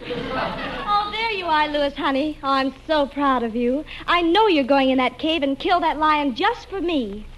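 Swoony adoring girlfriend, high voice, cooing and melodramatic, old time radio comedy. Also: expressive, measured pace, fairly bright tone, some chatter behind, a little hiss or hum, poor audio. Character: gushing, adoring young sweetheart woman. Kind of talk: 1940s radio comedy acting. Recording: vintage radio recording.

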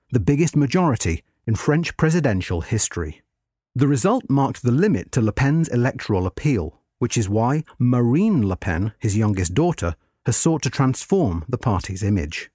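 Expressive male narrator, slightly husky, dry sound. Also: mid-range voice, dry recording. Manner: measured, formal read-aloud delivery. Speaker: article narrator. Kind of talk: scripted narration of a history article.

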